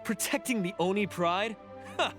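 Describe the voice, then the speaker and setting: An actor playing a proud, bitter oni outlaw with a scornful, accusing manner in a video game. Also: male voice, sits high, normal speed, over music, natural voice. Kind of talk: dramatic video game acting.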